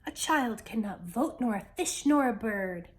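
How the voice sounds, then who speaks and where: A woman's voice: on the high side, expressive, unhurried. A woman voicing a flustered, indignant storybook builder in a sputtering, outraged manner.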